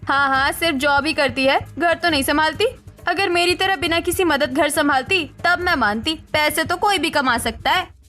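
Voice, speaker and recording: Woman as a young cartoon housewife, extremely high voice, earnest, plaintive, soft music. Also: normal speed. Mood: indignant. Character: proud, hurt young housewife daughter-in-law in cartoon.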